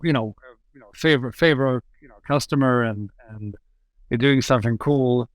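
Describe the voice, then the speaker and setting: A man's voice: medium pitch, normal speed, clear, resonant tone, dry recording. A man hosting a podcast, enthusiastic and rambling, with lots of fillers. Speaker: podcast host. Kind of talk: casual podcast interview.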